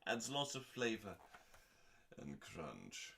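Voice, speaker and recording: Slow, theatrical man reading recipes aloud. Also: on the low side, huge pitch swings, creaky, sharp tone. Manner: theatrical, measured, with pauses between short phrases. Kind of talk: dramatic, deliberate recipe reading with deadpan asides.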